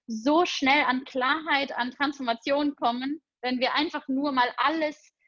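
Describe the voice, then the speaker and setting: A woman's voice: high-pitched, steady tone, measured pace, fairly bright tone, no room sound, lo-fi sound. A young woman talking casually and enthusiastically about meditation routines, with frequent fillers. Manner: enthusiastic, spiritual, chatty with fillers. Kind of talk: casual conversation.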